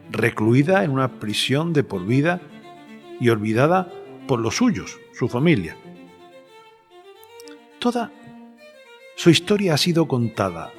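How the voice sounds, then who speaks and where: A man playing a polite, probing journalist, interviewing in a measured, hesitant way for an audio drama. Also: mid-range voice. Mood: probing, wry. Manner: measured, hesitant, deliberate phrasing. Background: cello and violin music.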